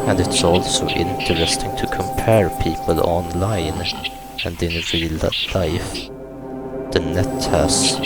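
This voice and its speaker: A man reading the text of a visual novel aloud in a measured, halting way, with pauses between phrases. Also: low-pitched. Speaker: gaming video host reading aloud. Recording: loud music behind the voice. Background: loud game music.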